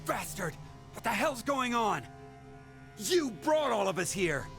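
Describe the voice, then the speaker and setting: A hot-headed young captive in a video game. The voice is aggressive and jumps around in pitch, over faint music. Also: male voice, big pitch swings, measured pace, vocal fry, full character voice. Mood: furious. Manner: loud outbursts, swearing.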